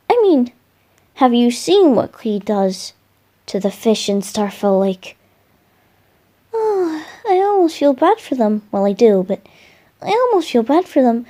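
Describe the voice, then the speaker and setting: A woman voicing a gentle, lovestruck boyish male wind spirit in a romantic roleplay ASMR, speaking tenderly with soft murmurs and hesitant pauses. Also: high-pitched, heavily acted. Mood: playful, animated.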